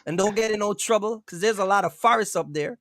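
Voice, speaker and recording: A man's voice: high voice, quick pace, a crowd in the background. A man hosting a casual live stream, joking and teasing his guests, often talking over them. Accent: Jamaican-influenced. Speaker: host.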